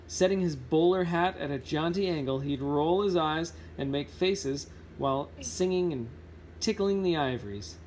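A man's voice: fairly high pitch, darkish tone, a little hiss or hum. A man reading a children's picture book aloud informally, now and then stumbling and correcting himself. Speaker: read-aloud host.

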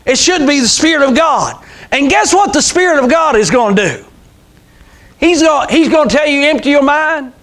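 High-pitched preacher man in his sixties. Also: expressive, moderate pace, a bit bright. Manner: emphatic, repetitive, calls out amens and rhetorical questions. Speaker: pastor preaching to a congregation. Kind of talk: informal, fervent extemporaneous sermon. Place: small church sanctuary, indoors. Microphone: clip-on lapel mic.

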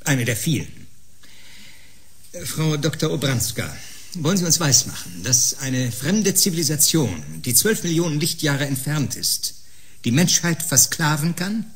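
A man's voice: a little high, big pitch swings, measured pace. A man playing a sceptical, blustering doctor in a sci-fi radio play, sarcastic and theatrically emphatic. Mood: combative, indignant. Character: combative, scornful male doctor, sceptical and blustering. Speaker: actor in a sci-fi audio drama.

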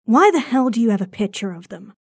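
A woman acts out an idealistic young werewolf with a quick temper for an audio drama. Her voice is raspy with plenty of fry, and she talks slowly, casual and blunt, in a dry close recording. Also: fairly high pitch, big pitch swings, a little mellow, dry recording, exaggerated voice. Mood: hostile. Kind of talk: audio roleplay drama acting.

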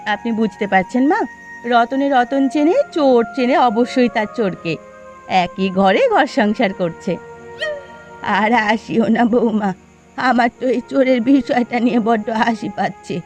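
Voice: medium pitch, a little slow, close to an everyday voice. Performer as gossipy village woman, scornful yet hospitable, cartoon dialogue, music behind. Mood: mocking, amused. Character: village women, gossiping mother-in-law and daughter-in-law, hospitable but scornful. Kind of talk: dubbed Bengali cartoon dialogue.

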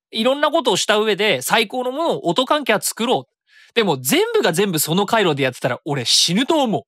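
A man's voice: a little high, fairly bright tone, no echo, clean studio sound. A man hosting a web radio show solo in a casual, chatty style, often interrupting himself and voicing his own thoughts. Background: background music.

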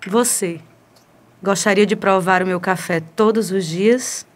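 A woman's voice: medium pitch, measured pace, close to an everyday voice. A woman playing a flirtatious, self-assured seductress in a radio soap opera.

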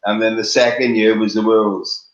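A man's voice: fairly low pitch, flat delivery, moderate pace. A middle-aged man with a Welsh accent, chatting on a video call from his living room, with many ums and restarts. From Wales. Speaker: guest, competition flyer. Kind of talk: casual video call chat. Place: home living room, on a couch. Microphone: webcam on a video call. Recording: roomy, dull lo-fi webcam sound.

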